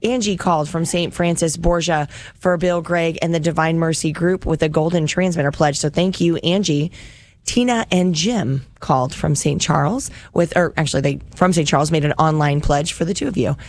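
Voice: medium pitch, normal speed, no echo. Upbeat fundraiser radio host, dry studio sound. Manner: upbeat, repeats phone number.

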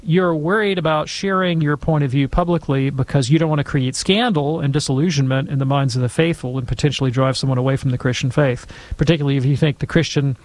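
Measured man with a higher voice, close sound. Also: dry recording. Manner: measured, explanatory. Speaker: speaker answering audience questions. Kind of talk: thoughtful question-and-answer talk.

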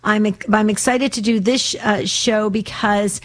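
A woman hosting a religious radio call-in show in a chatty, earnest, informal way, with a slightly raspy voice. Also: medium pitch, steady tone, normal speed.

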